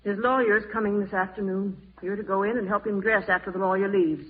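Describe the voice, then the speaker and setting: Actress as earnest farm niece, muffled old radio. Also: female voice, mid-range voice, fairly even intonation, fairly quick, husky, recorded from a distance, lightly acted. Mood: curt, defiant. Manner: earnest, tender, snappish when crossed. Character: devoted, spirited farm niece, dutiful housekeeper. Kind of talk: 1940s radio drama acting.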